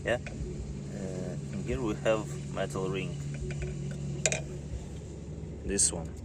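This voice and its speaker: Slow-talking man with a Ukrainian accent. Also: low-pitched, fairly even intonation. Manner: halting non-native English, explains step by step. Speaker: car hobbyist showing parts. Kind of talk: casual how-to demonstration. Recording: loud background noise.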